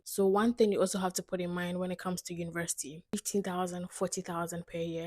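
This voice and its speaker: A woman's voice: lowish voice, no room sound, clean studio sound. A woman with a Ghanaian accent giving an explanatory talk as a video host, making her points emphatically and repeating them. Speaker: video host giving advice to international students.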